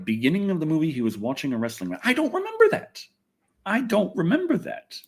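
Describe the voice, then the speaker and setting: A man with a somewhat high-set voice hosts a casual livestream. His delivery is very animated in pitch, swinging widely as he goes. He talks in a loose, rambling, unpolished way, happy to swear as he chats with viewers. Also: fast, husky. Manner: rambling, loose, expletive-laden. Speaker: livestream host.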